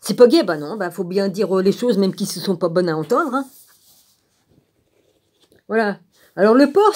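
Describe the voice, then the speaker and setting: Woman rambling away, kinda irritated n sarcastic, mid-pitched voice, crude everyday talk. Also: mellow. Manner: blunt, irritated, crude colloquial speech; sarcastic, punctuates phrases with rhetorical tags. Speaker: vlogger. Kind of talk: rambling informal rant.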